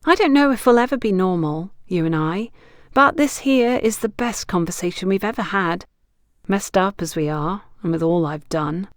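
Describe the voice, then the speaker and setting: Audiobook role, played by a woman narrator: teasing, worldly woman friend, mid-pitched, frank and instructive. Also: moderate pace, dry recording, studio quality, close to an everyday voice. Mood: teasing, sincere. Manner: playful, knowing, instructive. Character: frank, teasing woman confidante giving romantic advice. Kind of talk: audiobook character dialogue.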